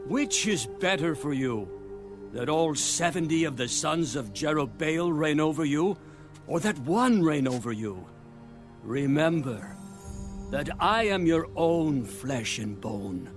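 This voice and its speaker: An ambitious, persuasive claimant in an audio Bible drama. His voice sits a little high and he speaks slowly and deliberately, with music clearly behind him. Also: lively intonation. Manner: measured, deliberate oratory. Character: persuasive ambitious man appealing to his kinsmen.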